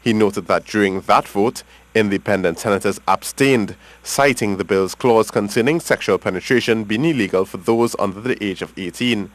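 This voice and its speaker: A man's voice: lowish voice, moderate pace, low-quality recording. A man with a Trinidadian accent narrating a scripted television news report.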